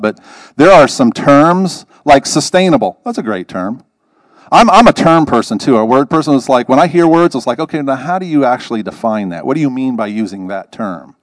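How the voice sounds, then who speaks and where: A man's voice: medium pitch, no echo, rough recording. A man, the pastor, introducing a guest speaker to his congregation in a folksy, conversational way, putting questions to the crowd.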